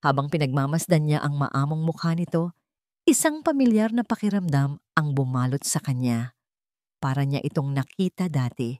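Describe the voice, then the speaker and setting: Filipino woman storyteller, low-pitched and lively. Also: moderate pace, no echo, studio quality. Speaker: story narrator. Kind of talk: scripted dramatic storytelling narration.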